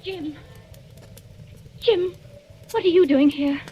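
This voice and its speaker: A woman's voice: extremely high pitch, slow, over music. A woman playing a polite wife in a 1940s radio drama, on an old recording. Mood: surprised. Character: polite wife, unaware of danger, arriving. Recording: noisy vintage radio recording.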